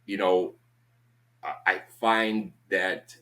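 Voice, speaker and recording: Deep-voiced Boston man in his fifties. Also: very slow, bright, forceful tone. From Boston, USA. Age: 50s. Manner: rambling, peppered with "you know what I'm saying", swears freely. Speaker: podcast guest. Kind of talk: casual conversation.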